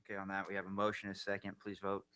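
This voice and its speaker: A man's voice: low voice, completely flat delivery, racing, sharp tone, smooth. A man chairing a city council meeting, calling speakers and votes in a plain procedural way with fillers.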